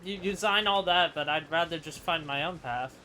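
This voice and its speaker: A man's voice: fairly high pitch, brisk, pushed and bright, slight background noise, rough recording. A man giving casual gameplay commentary, opinionated and thinking aloud as he plays. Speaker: gamer doing playthrough commentary. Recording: clear game music behind voice. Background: game music.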